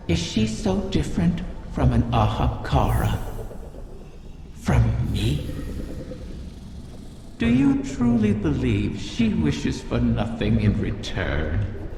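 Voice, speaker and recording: An ancient, scheming dragon whose gravelly voice drifts slowly through soaring, swooping questions. The sound rings out in a long reverberant hall. Steady noise hums behind him. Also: male voice, mid-range voice, exaggerated voice. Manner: slow, insinuating, lilting questions. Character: ancient wish-dragon, male, cunning and persuasive.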